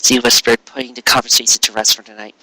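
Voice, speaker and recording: A man narrates a piece of fiction in a very bright, forceful voice of middling pitch. His delivery is earnest and expressive, and he sets phrases apart with pauses for emphasis. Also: no room sound, rough recording. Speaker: fan fiction reader. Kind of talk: dramatic scripted story narration.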